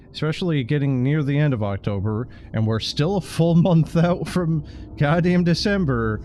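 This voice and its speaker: A man's voice: on the high side, darkish tone, slight background noise. A man doing casual game stream commentary in a rambling, sardonic, sweary way. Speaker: livestreamer.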